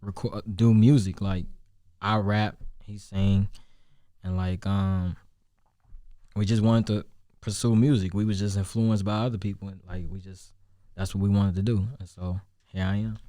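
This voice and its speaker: A young man from Chicago with a Chicago accent and a deep voice, chatting loosely as a rapper guest, with many restarts and fillers. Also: level tone, normal speed, dark tone, no room sound, studio quality. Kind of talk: casual interview chat.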